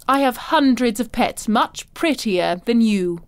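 An actress playing an imperious, cruel older madame in a fantasy audio drama, haughty, silky and condescending. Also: female voice, a little high, expressive, measured pace, clean tone. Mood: threatening.

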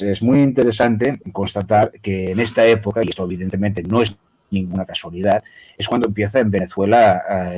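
A man on a video call, lecturing quickly and at length as a guest researcher. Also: fairly low pitch, warm tone, rough recording.

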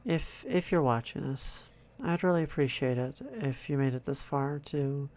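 A man quietly narrating his own drawing process, in a soft-spoken, calm way, thinking out loud. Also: medium pitch, normal speed. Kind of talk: casual relaxed commentary over drawing.

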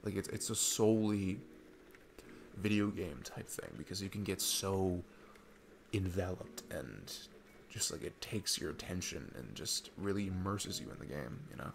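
A man's voice: low-pitched, normal speed, gravelly, slight background noise. A man playing a sweet, earnest, geeky single dad on a date, rambling and hesitant in an intimate ASMR roleplay. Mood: excited, earnest.

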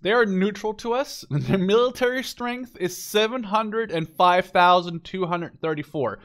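Casual gamer guy, slightly higher-pitched voice. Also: unhurried, a bit bright. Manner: informal, thinking aloud as he goes. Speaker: gameplay video host. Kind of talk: casual unscripted game commentary.

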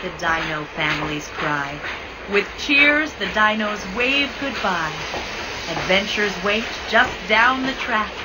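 A woman giving a measured, expressive scripted narration of a rhyming children's book. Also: mid-range voice, heavy background noise. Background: music.

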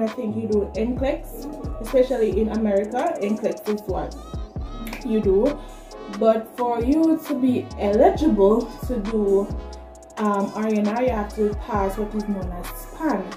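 A woman in her twenties with a Jamaican accent, chatting casually and directly to viewers as a vlogger. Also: mid-range voice. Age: 20s. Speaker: vlogger, nursing student. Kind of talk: casual vlog talk to camera. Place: small plain room indoors. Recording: echoey room, music behind. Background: background music.

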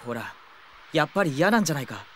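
A man's voice: a little high, sing-song, fast talker, very noisy. A man playing a blunt, wisecracking teenage schoolboy in an audio drama, speaking casually and expressively. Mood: earnest. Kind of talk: Japanese audio drama acting.

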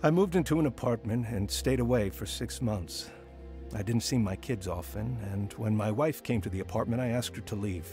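A man's voice: fairly low pitch, normal speed, lightly acted. Man playing a regretful father, music behind. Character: regretful married schoolteacher father telling his life story. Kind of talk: radio drama narration and dialogue.

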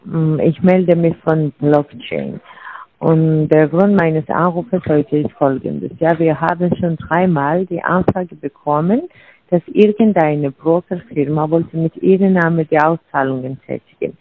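A woman's voice: deep voice, slightly rough, dry recording. Scam caller woman on tinny phone line. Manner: broken German, repeats scripted lines.

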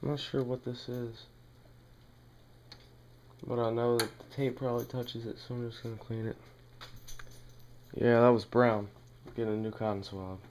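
A man giving a casual hands-on tutorial at home, talking plainly and haltingly as he works. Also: medium pitch, little pitch movement, darkish tone, slight background noise. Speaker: demonstrator in a home how-to video. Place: small dim room indoors at home.

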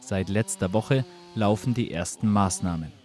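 A man reporter reading a scripted news voice-over in a steady, matter-of-fact way. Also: on the low side, normal speed.

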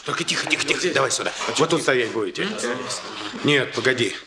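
An actor playing a brisk, streetwise young man in a radio play, commanding, colloquial and repeating his words. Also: medium pitch, animated, a crowd in the background, natural voice. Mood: bustling, bossy. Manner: colloquial, rapid repetitions, commanding. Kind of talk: Soviet radio play acting.

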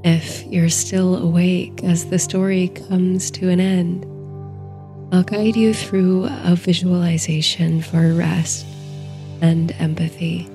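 Female sleep-story narrator. Very mellow and even, soothing and soft, faint music beneath. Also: lowish voice. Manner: soothing, hushed, unhurried delivery. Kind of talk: slow bedtime story narration, guided meditation.

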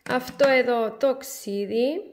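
A woman talks through her shopping item by item. Her voice sits fairly high, and her manner is chatty and easygoing. She is recorded indoors in a bright room at home. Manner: chatty, warm sign-off, item-by-item show and tell. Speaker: vlogger. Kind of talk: casual supermarket haul vlog.

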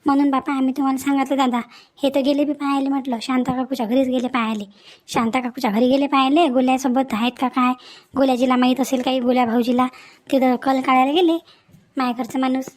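A woman acting a young village woman in a cartoon family drama. Her voice is high, and she talks in an earnest, chatty, practical way. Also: level tone, fast talker, a little mellow, close to an everyday voice. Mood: worried, complaining.

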